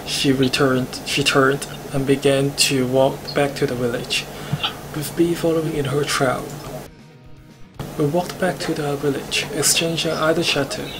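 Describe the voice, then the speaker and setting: A man who is a native Cantonese speaker, streaming a visual novel with narration and casual chat, reading haltingly at times. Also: fairly high pitch, poor audio. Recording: game music behind.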